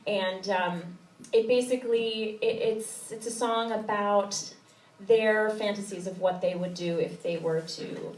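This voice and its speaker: A woman's voice: mid-range voice, fairly even intonation, measured pace, far from the mic. A woman cabaret singer giving casual, chatty, giggly stage banter between songs, often interrupting herself. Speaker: cabaret singer introducing a song. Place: small cabaret stage before an audience. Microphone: distant audience camera. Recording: echoey live hall recorded from afar.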